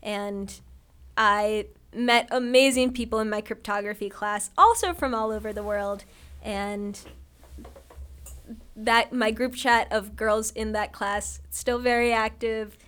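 A teenage girl from Ohio, a high school senior, chatting enthusiastically as a podcast guest. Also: measured pace, fairly bright tone, smooth. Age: teens. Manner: enthusiastic, upbeat. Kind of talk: casual interview chat.

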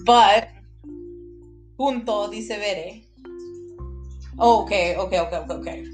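A woman in her thirties talks straight to her podcast listeners, quick and excited, drawing out her words. She swears casually and slips Spanish phrases into her talk. Faint music plays softly underneath. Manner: excited, fast and high-pitched, stretching words, swearing often. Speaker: podcast host.

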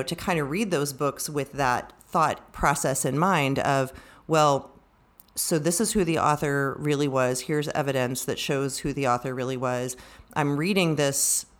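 A middle-aged woman speaking reflectively and conversationally as a co-host in a book discussion. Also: low-pitched. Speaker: podcast co-host, librarian.

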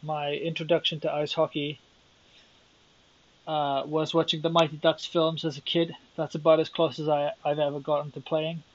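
A man's voice: a little high, little pitch movement, moderate pace, dry recording. Rambling Welsh man on a lo-fi podcast. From Wales. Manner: rambling, self-deprecating, thinking aloud. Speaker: podcast host. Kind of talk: solo podcast monologue.

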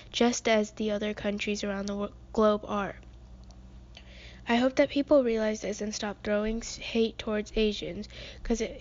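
Teenage girl, podcast co-host, earnest, measured reading, steady flat pitch, muffled lo-fi sound. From United States. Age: teens. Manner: earnest, measured delivery with frequent ums. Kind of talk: reading a prepared podcast segment.

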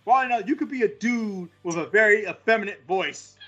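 A man's voice: high voice, fairly quick, fairly bright tone, lo-fi sound, soft background music. A man around forty hosting a casual podcast, rambling through stats with crude jokes and many fillers. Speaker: podcast host.

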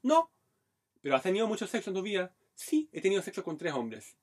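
A man's voice: high voice, big pitch swings, a little fast, husky. Brazilian man telling frank stories. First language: Portuguese. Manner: frank storytelling, heavy Chilean slang. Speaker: podcast co-host. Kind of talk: casual chat.

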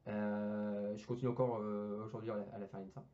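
A young man talking quickly in loose, casual speech, tripping over fillers and restarting his sentences. His slangy chat comes through on a dull, lo-fi recording. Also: fairly low pitch, little pitch movement, mellow. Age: young adult. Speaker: engineering student guest.

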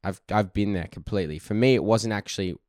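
A deep-voiced man in his thirties hosts a relaxed solo podcast from his couch. His voice is husky from a cold but still resonant, and the recording is close and dry. He rambles, laughs often and swears casually. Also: fast, no room sound. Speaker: podcast host. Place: sitting on his couch at home.

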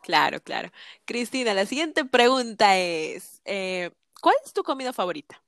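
A woman's voice: medium pitch, big pitch swings, moderate pace, vocal fry, fairly bright tone, no room sound. Chatty young woman hosting, dry sound. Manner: chatty, laughs often, many fillers. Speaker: podcast host. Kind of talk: casual podcast interview.

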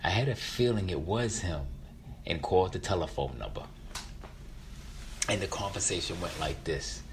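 A middle-aged man shares a personal story in a deep voice. He is reflective and draws out phrases for dramatic weight. There is faint noise behind him. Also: animated, moderate pace. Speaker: featured storyteller.

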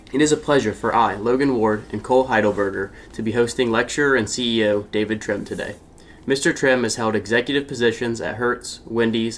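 A young man hosting a podcast, reading a formal scripted introduction and asking interview questions. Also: medium pitch. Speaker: podcast host. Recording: faint ambient noise.

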